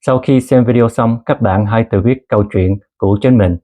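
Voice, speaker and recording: A man in his thirties who grew up in Canada, narrating a personal story as a language teacher in a calm, earnest way and mixing two languages. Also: mid-range voice, brisk, muffled recording. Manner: calm, earnest storytelling, switching between Vietnamese and English. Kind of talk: scripted lesson narration.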